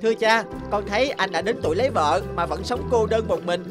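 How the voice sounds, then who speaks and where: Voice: mid-range voice, fairly quick, natural voice. An actor plays a friendly young snake prince in a cartoon. He speaks politely and pleadingly, like an eager child, with music underneath. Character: friendly young male snake, a sea king's son. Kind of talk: cartoon dialogue.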